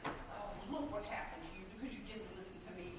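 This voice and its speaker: A woman in an amateur stage play acting a warm, bossy, meddling middle-aged mother who scolds and coaxes in a projected voice. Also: mid-range voice, animated, quick pace, natural voice. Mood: scolding, coaxing. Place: college theatre stage. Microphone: far camera. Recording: distant camera, echoey theatre, noisy.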